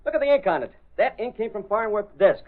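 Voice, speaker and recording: A man's voice: high voice, expressive, fast talker. A man playing a brash, eager newspaper reporter with punchy, slangy delivery in a vintage radio drama. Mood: accusing. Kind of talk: 1930s-40s radio drama acting. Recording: muffled vintage radio recording.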